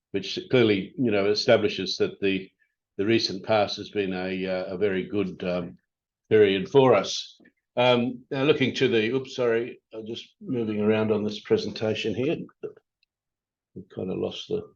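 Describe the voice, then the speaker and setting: A man presenting company results as an executive on an investor call, speaking in a halting, informal, hesitant way. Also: lowish voice. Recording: dull, band-limited conference call audio.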